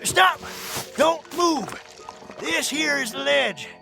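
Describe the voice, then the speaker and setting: A man's voice: very high-pitched, lively intonation, slow, creaky, exaggerated voice. A man playing an old rural American prospector in an audio drama, a stern, devoted brother, urgent and pleading in a folksy way. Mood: urgent warning. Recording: music behind the voice.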